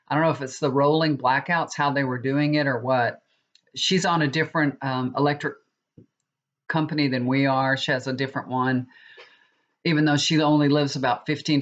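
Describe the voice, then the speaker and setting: A woman's voice: extremely low pitch, steady tone, normal speed. A middle-aged woman, stuffy and sniffling from a cold, chatting casually and rambling to her viewers. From Texas. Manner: chatty, rambling, with many restarts. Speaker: tarot reader. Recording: dull lo-fi recording.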